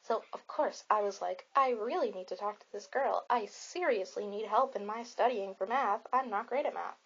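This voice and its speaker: A woman playing a friendly popular teenage girl in an ASMR roleplay, chatting softly and reassuringly in a rambling, casual way. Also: mid-range voice, moderate pace, dull audio, natural voice. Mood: chatty, friendly.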